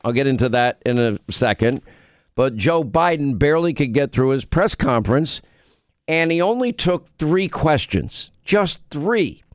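A man's voice: medium pitch, expressive, unhurried, no room sound, clean studio sound. A middle-aged man hosting a radio talk show, chatting in a rambling way, with his voice going in and out. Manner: rambling, storytelling, self-interrupting. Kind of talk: conversational radio monologue.

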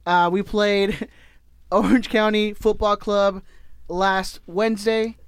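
A man's voice: high-pitched, little pitch movement, slow pace, clean tone. A man co-hosting a podcast, rambling and joking through a sponsor read in casual chat. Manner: rambling, self-correcting, joking. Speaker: podcast co-host.

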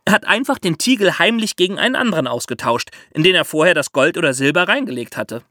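Man storytelling, slightly high voice, dry studio. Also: big pitch swings, fast talker, sharp tone, no echo. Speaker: podcast host and storyteller. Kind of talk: lively explanatory storytelling for children.

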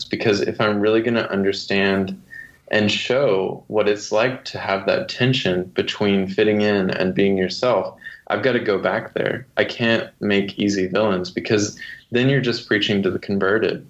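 Male author guest, on the low side, for literary reading and interview talk; muffled recording. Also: fairly even intonation, normal speed. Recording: muffled, dull lo-fi sound.

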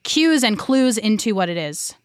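A woman's voice: medium pitch, sing-song, normal speed, clear, resonant tone, no room sound, studio quality. A woman talking in a casual, conversational way as a podcast co-host.

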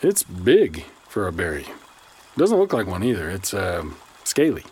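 An actor playing a skeptical, sarcastic therapist and bitter grown son in a science fiction audio drama, with dry, reluctant delivery. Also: male voice, low voice, wildly swooping pitch, measured pace, a little mellow, breathy edge, heavily acted.

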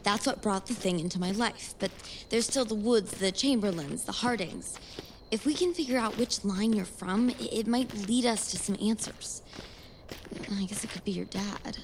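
A woman's voice: medium pitch, moderate pace, a little hiss or hum, close to an everyday voice, poor audio. A woman playing a stubborn, sarcastic young woman with an American accent in a naturalistic audio drama. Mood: puzzled.

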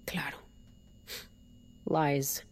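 An actress playing a defiant, cynical leader of an underground separatist movement in an audio drama. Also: female voice, low voice, flat delivery, drawn-out words, full character voice. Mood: scornful, hard.

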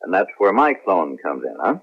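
A man's voice: low-pitched, big pitch swings, normal speed, husky, full character voice. An American man acting a spaceman in a science fiction radio drama of the 1970s. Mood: probing, cool. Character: celebrated space hero captain, and his earnest clone. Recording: muffled lo-fi 1970s radio broadcast.

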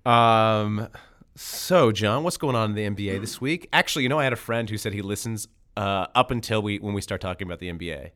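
A man's voice: lowish voice, brisk, fairly bright tone. A man hosting a podcast in a casual, joking style. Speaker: podcast host. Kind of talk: casual banter and comedic dramatic reading.

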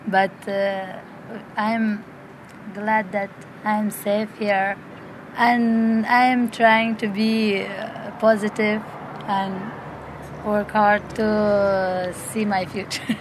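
A woman in her twenties with an Afghan accent, answering in halting, careful second-language English over noisy ambience. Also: flat delivery. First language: not English. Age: 20s. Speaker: musician and music teacher, interviewee. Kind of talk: spoken interview answers. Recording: noisy ambience with vehicle rumble.

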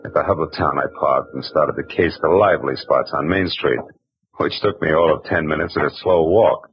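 Low hard-boiled detective narrator, wry; hissy, muffled vintage radio. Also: male voice, fairly quick, soft background music. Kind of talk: 1940s radio acting. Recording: hissy, dull old radio broadcast.

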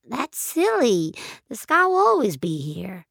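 Lady doing a small inquisitive kid, a little girl, higher voice, innocent and timid, audio drama. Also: huge pitch swings, unhurried, creaky, fairly bright tone, no room sound, studio quality, exaggerated voice. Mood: giggly, trusting. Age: child. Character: curious, innocent five-year-old country girl.

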